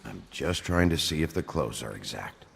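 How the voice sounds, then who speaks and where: A man playing a gruff, weary detective in a video game, husky and gravelly, speaking tersely and dryly. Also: rumbling low, animated, normal speed, fairly bright tone, big-room sound, full character voice. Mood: hesitant, careful. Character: gruff, weary male detective, blunt and probing.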